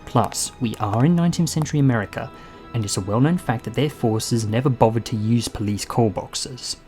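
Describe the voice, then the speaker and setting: A man's voice: medium pitch, brisk, darkish tone, faint music behind, lightly acted. An actor playing a quirky, self-assured male time traveller in an audio drama, wry and breezy in manner.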